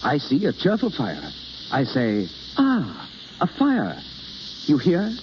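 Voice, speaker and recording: An actor playing a suave, exacting drama teacher in a 1930s radio play, speaking in a crisp, instructive, theatrical way. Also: male voice, mid-range voice, huge pitch swings, slow. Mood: brisk instruction. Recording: muffled, hissy 1930s radio broadcast recording.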